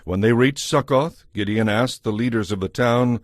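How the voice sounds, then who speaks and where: A man narrating a scripted audio Bible as a measured, solemn storyteller. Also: medium pitch, no room sound, studio quality.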